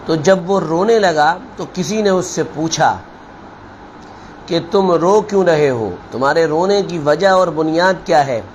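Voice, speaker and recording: High-pitched male preacher, noisy recording. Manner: deliberate, admonishing, repeats phrases for emphasis. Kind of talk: sermon with storytelling parable.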